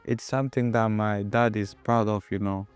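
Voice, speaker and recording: A young man with a Kenyan accent answers questions with a low, steady, even voice. His tone is smooth and clear. He talks haltingly with many fillers, while soft music plays faintly behind him. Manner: hesitant, many fillers like "you know". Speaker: footballer guest. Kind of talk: interview answers.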